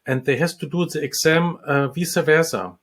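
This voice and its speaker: A man from the Republic, a dentist and lecturer, answering interview questions with many pauses, ums and restarts. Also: on the high side, fairly bright tone. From Czech Republic. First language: Czech.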